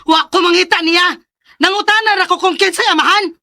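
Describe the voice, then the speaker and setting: A scolding Cebuano mother in a radio drama, very high-pitched and piercing. She fires off outraged questions and exclamations in a forceful, bright voice, recorded close and dry. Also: brisk, dry recording, full character voice. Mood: shocked, furious. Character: furious scolding mother of a pregnant teenage daughter. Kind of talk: Visayan radio drama acting.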